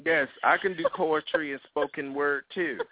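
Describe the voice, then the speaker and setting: A man's voice: a little high, steady tone, moderate pace, narrow, tinny audio, heavy background noise. A man hosting a casual call-in chat show, teasing his guests in a flamboyant way and swooping up into laughter. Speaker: host.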